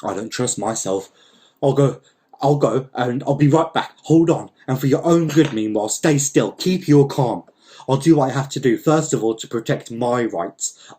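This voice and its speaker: A man's voice: mid-range voice, dark tone, close to an everyday voice. A man voicing a panicky, blustering landowner in a dramatic story, exasperated and repeating his commands.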